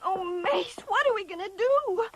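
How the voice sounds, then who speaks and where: A woman's voice: very high-pitched, lively intonation, moderate pace, a loud crowd. A woman playing a distraught, frightened woman in an audio drama, crying as she speaks. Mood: despairing, tearful. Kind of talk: radio drama acting.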